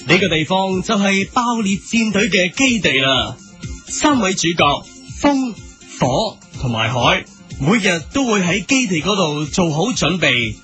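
A man performs an earnest narrator of a heroic cartoon. His delivery is dramatic and animated, with a high, bright voice. Music runs softly behind him. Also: a little slow, heavily acted. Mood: earnest storytelling.

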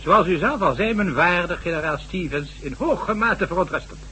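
An actor playing a formal, cautious high government official in a radio drama, speaking in a stately, measured manner. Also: male voice, expressive. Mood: grave, formal. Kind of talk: Dutch radio drama acting. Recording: reverberant hall, slightly noisy old recording.

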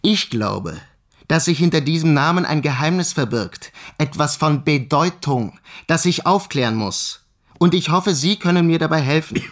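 An actor playing an earnest, persistent scientific investigator in a radio play, speaking in a polite, formal and insistent manner. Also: male voice, a little high, animated, normal speed, natural voice.

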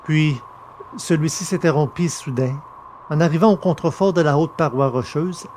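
Measured male audio drama narrator. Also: a little high, slight background noise. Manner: steady, measured storytelling. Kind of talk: scripted science fiction narration.

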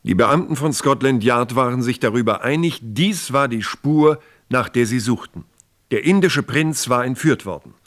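Man narrating an old-school crime radio play, medium voice, formal and measured. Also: medium pitch.

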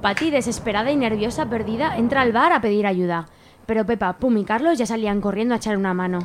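A woman's voice: on the high side, fast talker, very noisy. A woman reading scripted narration as the narrator of a radio soap.

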